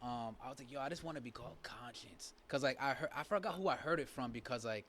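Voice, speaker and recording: A young man from Massachusetts whose first language is Spanish, a podcast guest talking casually and haltingly, with lots of fillers and restarts. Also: mid-range voice, a bit bright, slight background noise. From New Bedford, Massachusetts. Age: young adult.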